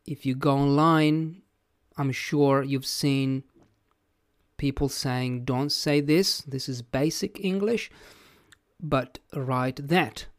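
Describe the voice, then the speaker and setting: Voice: medium pitch, no room sound, studio quality. An English teacher whose first language is Italian, speaking with an Italian accent in a plain-spoken, reflective podcast lesson, thinking aloud as they go.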